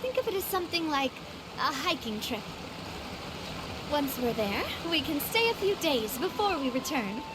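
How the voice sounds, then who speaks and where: A woman's voice: high voice, big pitch swings, normal speed, background noise. An actress playing a gracious, cheerful young lady leader in a video game, genteel and encouraging. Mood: relaxed, friendly. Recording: music under the voice.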